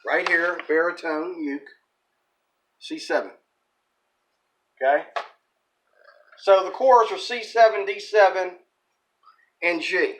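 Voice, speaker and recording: A man's voice: high voice, slow, pauses often, noisy background. A man giving an informal ukulele lesson, thinking aloud in a rambling, folksy way and correcting himself as he goes. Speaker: ukulele teacher and channel host. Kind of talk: informal instructional talk with playing. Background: ukulele strumming.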